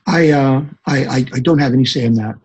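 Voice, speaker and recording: A man is talking as a guest author in a podcast interview. His voice is very husky and rough at a middling pitch. He speaks hesitantly, often repeating a word before he moves on. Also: darkish tone, dull audio. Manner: halting, hesitant, repeating words.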